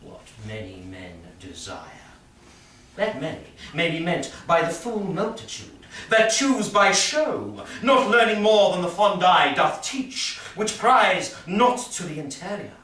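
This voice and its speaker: An actor playing a pompous, self-satisfied prince in a Shakespearean staged reading, declaiming with deliberate dramatic pauses. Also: male voice, high voice, sing-song, fairly bright tone, full character voice. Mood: haughty, deliberating. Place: live staged reading in a reverberant room. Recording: echoey room from far off, faint noise.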